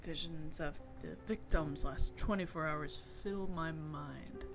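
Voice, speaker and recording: A woman's voice: low voice, slow pace, music in the background, voice pushed down. A woman streamer reading a visual novel voices a jaded, world-weary clairvoyant investigator narrating in the first person. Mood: somber, wry.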